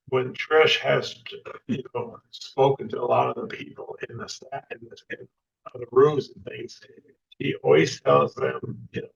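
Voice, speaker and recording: An older man in casual chat as a podcast guest, halting, often stumbling and repeating his words. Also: medium pitch, creaky, fairly bright tone. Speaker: former baseball player, podcast guest. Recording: distant, lo-fi mic sound.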